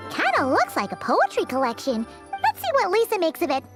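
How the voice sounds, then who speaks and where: An actress voicing a tiny, childlike, excitable fairy companion in a video game, squeaky, bubbly and curious, with music behind her. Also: brisk, exaggerated voice. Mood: curious, suspicious. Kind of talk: video game dialogue acting. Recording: music playing under voice.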